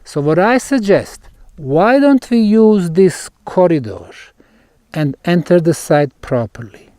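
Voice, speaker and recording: A man with a fairly high voice guides a group through a site. He speaks very slowly, setting out short, emphatic phrases with deliberate pauses. The sound is dry, with little echo. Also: lively intonation, warm tone. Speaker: researcher and tour guide. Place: outdoors at a megalithic stone site.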